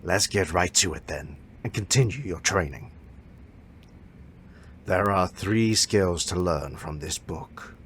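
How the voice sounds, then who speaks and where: A man voicing an aging martial arts master in an amateur audio drama, calm, formal and solemn, instructing in a measured way. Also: low-pitched, slight background noise. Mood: solemn, instructive.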